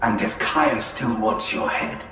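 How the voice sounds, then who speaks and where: An actor playing a grave, protective alien seer in a game cinematic, solemn and formal. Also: male voice, fairly low pitch, slow, sharp tone, faint music behind. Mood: grave, urgent. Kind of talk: dramatic game cinematic acting. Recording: very echoey, faint noise.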